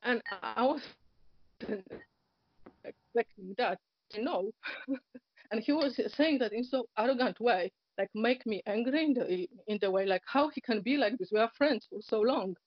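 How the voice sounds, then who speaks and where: A woman's voice: on the high side, moderate pace, no echo. Polish woman on a dull online call. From Poland. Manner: hesitant, many fillers, nonnative grammar, frequent soft laughs. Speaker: interview guest. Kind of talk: personal story in casual conversation. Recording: dull, lo-fi internet call audio.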